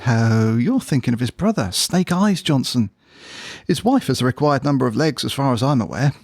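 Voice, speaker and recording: An earnest, gossipy bloke played by a man in a comic audio drama. His pitch moves around a lot under deadpan, incredulous banter, in a close, dry recording. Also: moderate pace, dry recording. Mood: earnest storytelling. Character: matter-of-fact, gossipy male pub friend, comically earnest. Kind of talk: audio drama comedy dialogue.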